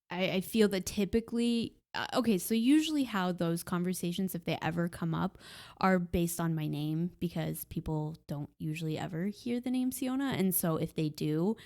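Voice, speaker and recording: A young woman in a casual podcast conversation, talking softly and hesitantly with many pauses. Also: fairly low pitch, creaky. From Puerto Rican heritage. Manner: soft-spoken, hesitant with many ums. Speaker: podcast guest.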